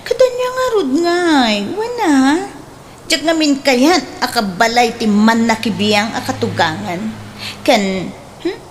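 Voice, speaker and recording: An actress plays a coy, wheedling mother of five in an Ilocano radio drama. Her Ilocano-accented voice swoops up and down in a very lively way, with slight noise behind it. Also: fairly high pitch, slow. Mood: sulky, insistent.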